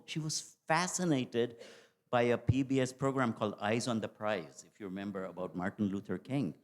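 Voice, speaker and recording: A middle-aged man sharing a personal reflection from the floor, thoughtful and restarting his sentences as he goes. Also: medium pitch. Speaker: audience member. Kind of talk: personal reflection at a public forum.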